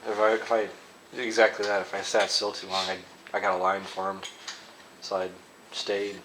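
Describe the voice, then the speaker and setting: A man's voice: on the low side, monotone, moderate pace, background noise. A man hosting in a casual, conversational way. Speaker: host. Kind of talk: casual chat.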